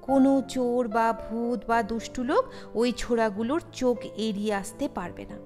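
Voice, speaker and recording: High-voiced woman storyteller in long reverb. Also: moderate pace. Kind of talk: expressive scripted audio-story narration. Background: music.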